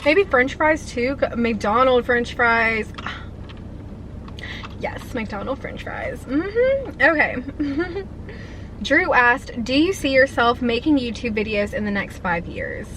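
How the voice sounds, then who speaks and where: A woman's voice: a little high, animated, takes it slowly, fairly bright tone, slight background noise. A woman in her twenties chatting casually and eagerly while eating on camera, answering viewer questions. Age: 20s. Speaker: food vlogger. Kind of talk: casual mukbang chat.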